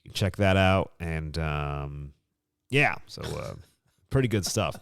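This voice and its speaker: A man's voice: a bass voice, expressive, slow, creaky, fairly bright tone, smooth, no room sound, clean studio sound. A man hosting a casual podcast, chatting with effusive enthusiasm. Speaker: podcast host.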